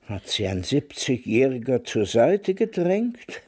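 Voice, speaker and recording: A man's voice: medium pitch, big pitch swings, slow, darkish tone. An older man acting a grumbling, teasing, hard-of-hearing man in his nineties in a radio play. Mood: dry teasing.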